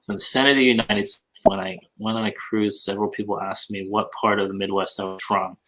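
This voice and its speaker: A man's voice: on the low side, fast, creaky, a bit bright, rough recording. A man with a Minnesota accent hosting a casual podcast hangout, teasing his co-host in friendly banter while he works. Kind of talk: casual chat while listing items.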